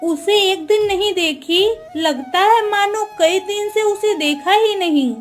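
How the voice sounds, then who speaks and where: A woman plays a motherly cartoon queen with a very high voice and slow, formal phrasing. She is tender and full of worry. Soft music sits behind her. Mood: anxious. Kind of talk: Hindi cartoon story dubbing.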